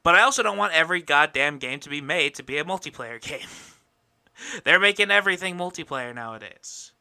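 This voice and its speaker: A man hosts a casual podcast in a very bright, forceful voice with a smooth, clear tone. He is opinionated and rhetorical, with some swearing and mock indignation. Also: fairly high pitch, animated, fairly quick. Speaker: podcast host.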